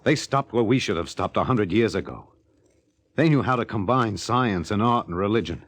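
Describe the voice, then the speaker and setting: An actor with an American accent playing a bitter, disillusioned astronaut turned killer in a 1950s radio drama, intense and halting. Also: male voice, fairly low pitch, animated, dry recording. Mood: bitter, regretful. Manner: intense, halting, broods aloud. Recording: old 1950 radio recording.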